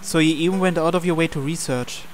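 A man's voice: a little high, fairly even intonation, quick pace. A young man reading a visual novel's narration and dialogue aloud as the host. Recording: music behind the voice. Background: game background music.

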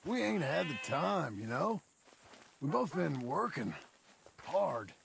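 An actor playing a rough, soft-hearted American cowboy turned rancher in a video game, with a gravelly Western drawl. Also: male voice, fairly high pitch, big pitch swings, slow pace, noisy background, exaggerated voice. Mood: easygoing, tender. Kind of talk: video game cutscene acting. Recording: music behind.

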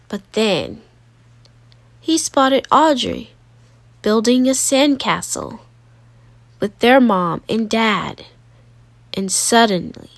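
A woman narrating a children's storybook aloud, expressively and deliberately, with dramatic emphasis on single words. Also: a little high, dry recording.

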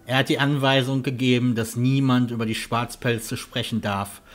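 A man playing a calm, friendly hunter and guide in a fan-made fantasy audio drama. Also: medium pitch, moderate pace, lightly acted. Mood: matter-of-fact. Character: friendly, down-to-earth male hunter guide Jannis.

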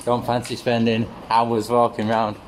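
A man exploring an abandoned building, muttering quick asides to camera in a casual vlog. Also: mid-range voice, monotone. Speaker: urban explorer vlogger. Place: abandoned brewery building, indoors and rooftop. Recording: noisy handheld camera audio.

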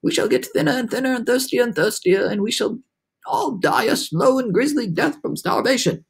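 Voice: medium pitch, lively intonation, normal speed, gravelly, husky, pitched above the performer's own voice. A performer voicing a gloomy, snappish male earthworm in a dramatic children's storybook read-aloud, complaining and predicting doom. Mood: despairing.

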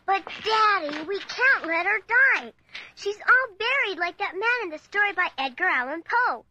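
A woman's voice: extremely high pitch, expressive, moderate pace, muffled recording, very noisy. A woman playing an earnest, stubborn young American girl in an audio drama, insistent and pleading. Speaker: actor and narrator in an audio drama. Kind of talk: dramatic radio-play acting.

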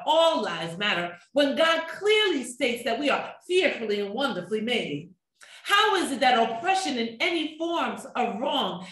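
A middle-aged woman pastor preaching a sermon with rhythmic repetition, recorded in a small room. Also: high-pitched. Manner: expressive preaching with wide hand gestures, rhythmic repetition. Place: small room with a table, indoors. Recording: echoey room.

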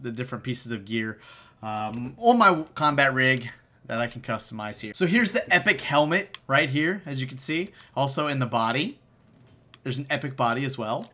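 A man's voice: mid-range voice, bright, forceful tone. An American man in his thirties giving casual, upbeat, slangy commentary for a gaming video.

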